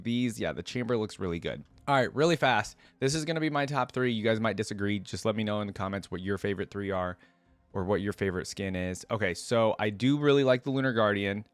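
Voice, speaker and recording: A man's voice: lowish voice, dry recording. A man hosting a reaction video, thinking aloud in casual, conversational commentary and sometimes reading from web pages. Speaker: reaction video host and streamer.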